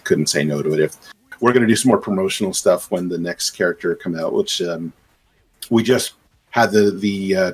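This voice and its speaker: A middle-aged man with a low, husky voice chats as a guest on a video-call interview. He rambles, circling back with fillers and restarts. He sounds like he is speaking from a small room at home. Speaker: game composer and sound designer, guest. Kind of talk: casual podcast interview.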